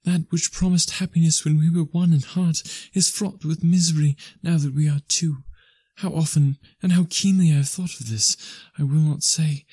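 A gentle young woman in a storybook reading, her voice lifted high and light as she speaks softly but with steady resolve, as a male narrator puts her on. The delivery is earnest and sorrowful, dry and close with no room around it. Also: normal speed, slightly rough, no echo.

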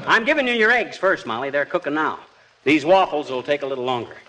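An actor playing a cocky, wisecracking, grumbling husband in a 1940s radio comedy, with slangy homespun speech. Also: male voice, fairly high pitch, lively intonation, fast talker, close to an everyday voice. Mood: cocky, breezy. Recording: noisy vintage radio recording.

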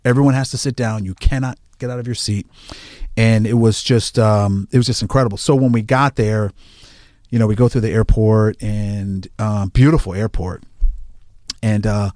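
A man's voice: on the low side, moderate pace, dry recording, studio quality. A man, a firefighter, telling stories in casual conversation and acting out what people said. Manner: animated, acts out quoted lines. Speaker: firefighter guest.

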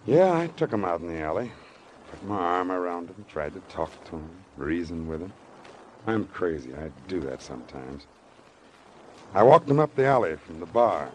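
An actor in an old-time radio drama playing a weary, earnest former boxer who speaks plainly in short, halting sentences. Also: male voice, low voice, sing-song, a little mellow. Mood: defensive. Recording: noisy vintage radio broadcast recording.

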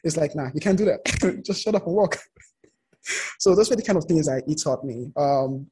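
A man with a raspy edge to his voice and a somewhat raised pitch speaks as an interview guest. He tells personal stories in a conversational way, slipping into quoted remarks to show what people said. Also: moderate pace. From outside the United States. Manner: animated retelling with quoted remarks.

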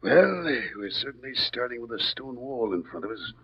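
An actor playing a gruff, authoritative police inspector in 1940s radio drama, terse and businesslike. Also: male voice, medium pitch, very animated, normal speed, a bit bright, full character voice. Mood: terse, weary. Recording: muffled vintage radio recording.

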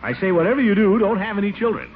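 An actor playing a blustery, exasperated middle-aged uncle in a 1940s radio comedy, pompous and grumbling. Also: male voice, high voice, expressive, rapid-fire. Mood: glum, grumbling. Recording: noisy, tinny old radio recording.

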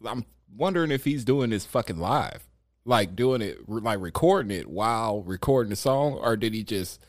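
A man's voice: fairly low pitch, expressive, normal speed, dry recording, studio quality. A young man chatting casually in a laid-back, agreeable way with emphatic praise. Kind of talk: casual podcast chat.

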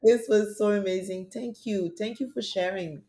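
A woman hosting a casual interview, warmly affirming her guest with frequent encouraging backchannels. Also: medium pitch, moderate pace. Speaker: host.

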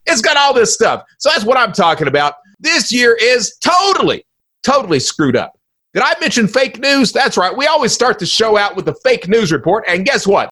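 A man's voice: on the high side, a bit bright. A middle-aged man delivering an informal solo podcast monologue as host, in a theatrical, folksy and emphatic manner with rhetorical questions.

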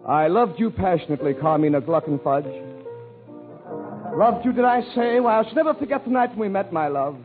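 A man's voice: high-pitched, expressive, brisk, narrow, tinny audio, music in the background. A man playing an overwrought, gushing suitor in a florid mock love speech on 1940s radio, on a vintage recording. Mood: passionate reminiscing. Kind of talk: 1940s radio comedy monologue.

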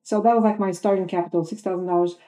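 Dark-voiced woman from Bulgaria, thoughtful. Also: mid-range voice, fairly even intonation, very fast. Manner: thoughtful, many ums and uhs. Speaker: film composer guest. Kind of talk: podcast interview answers.

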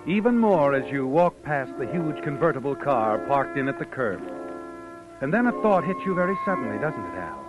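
A man's voice: medium pitch, big pitch swings, natural voice. Ominous old-radio actor as male narrator over music. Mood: ominous, insinuating. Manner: insinuating, deliberate, dramatic second-person telling. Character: ominous male narrator addressing the scheming man. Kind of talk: 1940s-50s radio mystery narration. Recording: muffled old radio recording, music behind. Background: dramatic music.

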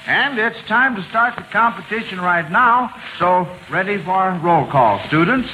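An American man hosts a vintage radio quiz with a high voice and an unhurried delivery. He has a genial showman's manner, and the old broadcast is clearly noisy and hissy. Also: lively intonation, bright, forceful tone. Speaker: quiz show host. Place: university auditorium.

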